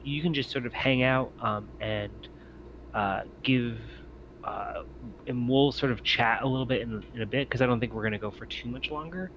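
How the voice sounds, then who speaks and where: A man running a tabletop game as game master, explaining scenes in a hesitant, halting way. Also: medium pitch, lo-fi sound, slight background noise.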